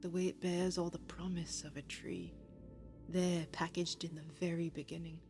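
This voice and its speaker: A woman playing a grieving, heartbroken lover in a poetic audio-drama monologue, measured and reflective, with breathy pauses. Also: on the low side, flat delivery, over music, close to an everyday voice. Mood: wistful, grieving.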